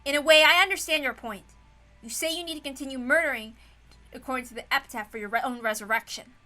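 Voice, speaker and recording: An indignant young man voiced by a woman argues with stubborn, righteous emphasis. The voice is high and forceful, in the dramatic manner of a visual novel confrontation. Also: fast talker, soft background music. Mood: stern reproach.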